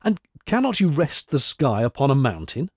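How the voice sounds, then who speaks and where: A man's voice: mid-range voice, big pitch swings, moderate pace, darkish tone, husky. A man voicing a bold, fearless hero of myth in a storybook, with a confident, declamatory manner. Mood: polite, direct.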